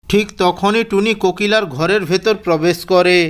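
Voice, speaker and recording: A man's voice: sits high, fairly even intonation, normal speed. A man narrating a scripted children's cartoon story as its storyteller.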